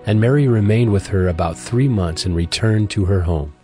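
A man narrates scripture for an audio drama. His low voice is measured and solemn, set over clearly audible music. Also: warm tone.

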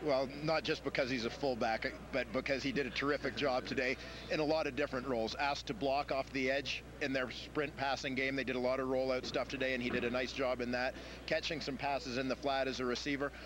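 A man giving measured, explanatory football analysis on a studio panel with a handheld mic. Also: medium pitch, level tone. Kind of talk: postgame analysis. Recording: broadcast audio with background noise.

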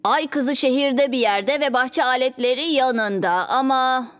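An earnest young boy leader from a superhero cartoon, played by a woman. The voice is high, eager and take-charge. Music plays behind it. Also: unhurried, no echo. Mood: determined. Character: earnest, helpful six-year-old superhero boy leader. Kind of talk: children's cartoon dubbing.

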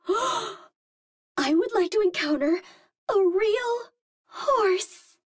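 A woman's voice: extremely high pitch, animated, extremely slow, no echo, studio quality, heavily acted. An actress playing a cute, naive young Martian space healer, speaking in a sweet, girlish, precise and formal way. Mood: awed, wistful.

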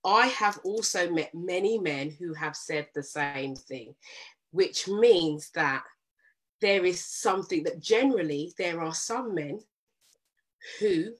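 A woman hosting a relationship talk show, explaining her point at length in a measured, firm way. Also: on the low side, husky. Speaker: host.

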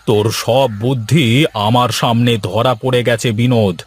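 A man's voice: medium pitch, steady tone, normal speed, breathy edge, lightly acted. A man voicing a blustering, bossy shrimp-farm owner in a cartoon, with a domineering manner. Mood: angry. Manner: scolding, domineering. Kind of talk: cartoon dialogue acting.

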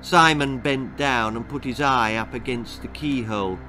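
An older man reading a children's horror story aloud in a deliberate, theatrical way. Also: mid-range voice, sharp tone, soft background music, rough recording. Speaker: author reading his own children's story. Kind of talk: dramatic storytelling, scripted narration. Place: small book-lined room indoors.

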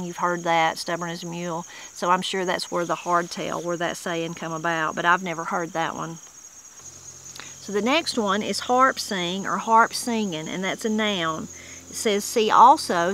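A woman's voice: fairly low pitch, fairly bright tone, a little hiss or hum, rough recording. A middle-aged woman with a Southern Appalachian accent, casually explaining old mountain words outdoors in a wooded yard. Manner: homespun and plain-spoken, with frequent restarts. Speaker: channel host. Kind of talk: casual explanatory talk reading dictionary entries.

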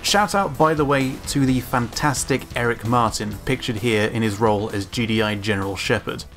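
A man with a medium-pitched voice narrates an analytical video. His scripted commentary is informal, measured and opinionated, with music playing behind him. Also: fairly bright tone. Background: background music.

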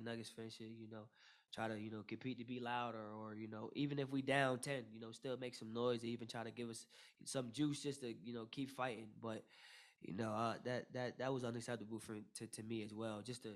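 Young man, basketball player, postgame press conference, monotone, middle pitch, lots of fillers, table mic. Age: young adult. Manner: flat, steady delivery full of "you know" fillers and repeated starts. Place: press room with logo backdrop. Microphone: table mic in front.

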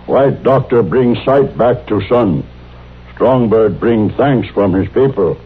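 An actor playing a grave, dignified Native man in a radio western, speaking slow, halting broken English. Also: medium pitch, breathy edge. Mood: solemn, grateful. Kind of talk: old-time radio western acting. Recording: tinny, faintly noisy old radio recording.

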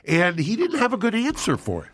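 An older man co-hosting a podcast in a studio, talking into a boom mic, indignant and full of rhetorical questions. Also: huge pitch swings, brisk, a bit bright. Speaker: co-host. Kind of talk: casual podcast talk. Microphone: studio boom mic with headphones.

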